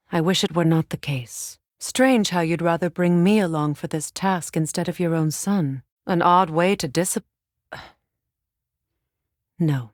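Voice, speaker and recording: An actress voicing a grave, caring Norse goddess and warrior mother in a video game, with measured, formal, thoughtful diction. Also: lowish voice, no echo, studio quality, natural voice. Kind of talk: scripted game dialogue.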